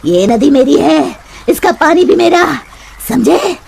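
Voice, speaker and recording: Woman playing a haughty cackling river witch, high-pitched. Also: animated, slow pace, vocal fry, darkish tone, full character voice. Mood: bossy, irritated. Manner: imperious, taunting. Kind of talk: Hindi cartoon voice acting.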